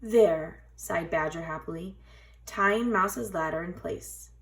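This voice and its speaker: A woman teacher reading a children's storybook aloud in an expressive, dramatic way. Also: lowish voice, unhurried, creaky. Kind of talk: scripted children's storybook narration.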